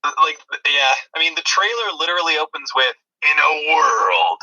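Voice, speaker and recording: A man's voice: a little high, lively intonation, normal speed, bright, forceful tone, highs cut off. A man co-hosting a movie review podcast, chatting in a dry, disparaging way. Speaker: podcast co-host.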